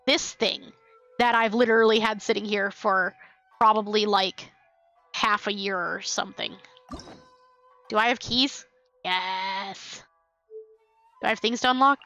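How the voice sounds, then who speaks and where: A woman's voice: medium pitch, a bit bright. A woman chatting casually and playfully as she commentates on a live game stream.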